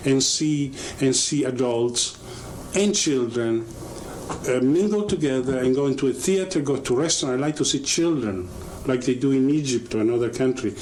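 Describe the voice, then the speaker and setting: Guy in doc interview, mellow voice, repeats himself, bit of background noise. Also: mid-range voice, poor audio. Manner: halting, repetitive. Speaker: documentary interviewee. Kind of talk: unscripted interview talk.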